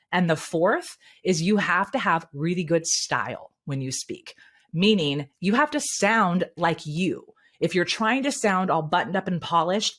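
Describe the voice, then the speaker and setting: Energetic woman speaking coach. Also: fairly low pitch. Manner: energetic, candid coaching talk. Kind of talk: interview guest giving advice.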